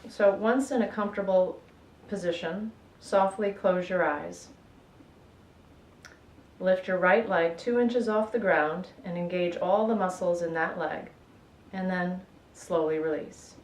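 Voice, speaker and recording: A woman guiding a relaxation meditation in a measured, soothing way, with gentle pauses. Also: fairly low pitch. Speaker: yoga nidra guide. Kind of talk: guided relaxation meditation, instructional narration. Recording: echoey room with faint background noise.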